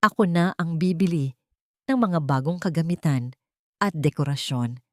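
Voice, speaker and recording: A woman's voice: deep voice, moderate pace, dry recording, close to an everyday voice. A woman voicing a domineering, greedy older mother-in-law, brazen and falsely caring, in an audio story. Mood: bossy, falsely caring. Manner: sharp, brazen, commanding. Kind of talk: audio storybook character dialogue.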